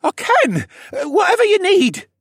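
Audiobook character: nervous, eager teen son, high strained voice that cracks, stammering, dry recording. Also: male voice, wildly swooping pitch, a little slow, vocal fry, a bit bright, dry recording, heavily acted. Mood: eager, frantic. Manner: stammering, hesitant. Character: anxious, eager teenage adopted son, boy.

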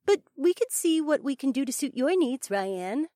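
A man voicing a gentle, sensible woman companion in a soft falsetto. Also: big pitch swings, moderate pace, no room sound, studio quality.

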